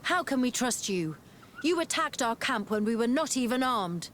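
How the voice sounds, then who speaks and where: An actress playing a determined, wary scientist-explorer in a fantasy game, earnest and articulate. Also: female voice, fairly high pitch, expressive, moderate pace, fairly bright tone, a little hiss or hum. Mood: indignant. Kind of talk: scripted game cutscene acting.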